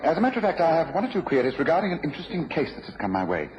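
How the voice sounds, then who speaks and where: An actor playing an inquisitive gentleman detective in a radio drama, British, precise and courteous. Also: fairly high pitch, sing-song, rapid-fire. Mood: genial, inquiring. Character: Sherlock Holmes, brisk, inquisitive gentleman detective. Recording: dull, noisy radio recording.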